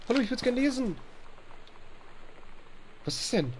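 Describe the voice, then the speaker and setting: A man's voice: extremely high pitch, very animated, measured pace, a little mellow, a little hiss or hum. A young man with a stuffy nose chatting casually over gameplay, reading on-screen text aloud and grumbling at bugs. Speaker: let's play host.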